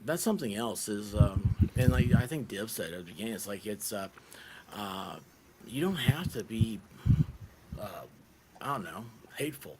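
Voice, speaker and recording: A man's voice: lowish voice, expressive, normal speed. A man hosting a casual group chat, speaking hesitantly with many fillers and restarts. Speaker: host. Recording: loud background noise.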